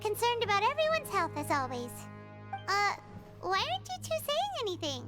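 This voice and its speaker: A woman voicing a small, chirpy, excitable fairy companion in a video game, speaking in an exclamatory way. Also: very high voice, moderate pace, over loud music, exaggerated voice. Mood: curious, chatty. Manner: speaks of self in third person, exclamatory.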